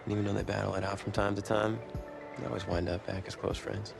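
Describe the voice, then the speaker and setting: A man playing a young college football teammate in a video game drama, a loyal, teasing friend with a casual, gravelly delivery. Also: fairly low pitch, level tone, fast talker, over music. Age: young adult. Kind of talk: naturalistic sports video game drama acting.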